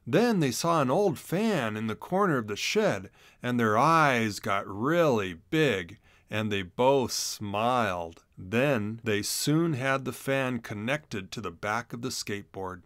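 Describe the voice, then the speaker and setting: Guy reading a kids book out loud, super expressive, dry close sound, middling pitch. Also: slow, hardly any pauses, no room sound. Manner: expressive read-aloud delivery. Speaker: narrator. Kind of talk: scripted children's storybook narration.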